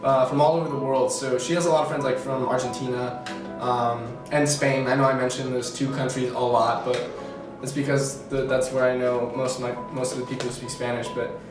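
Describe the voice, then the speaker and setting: A man's voice: medium pitch, flat delivery, brisk. A young man from Colombia answering interview questions in a casual, rambling way, with many fillers and self-corrections. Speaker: student interviewee. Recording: echoey room from far off, music behind.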